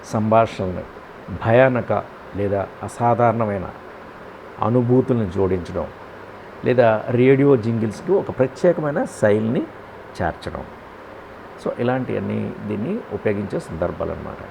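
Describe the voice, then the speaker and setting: A man with a Telugu accent giving a step-by-step software tutorial, posing questions and answering them himself with frequent check-in tags. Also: on the low side, expressive, moderate pace, creaky, pauses often, a little hiss or hum, rough recording. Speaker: audio editing tutor.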